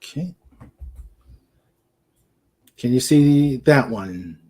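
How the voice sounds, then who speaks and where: A man chatting casually as co-host of a livestream, halting and often searching for his words. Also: medium pitch, animated, a little mellow, slightly rough.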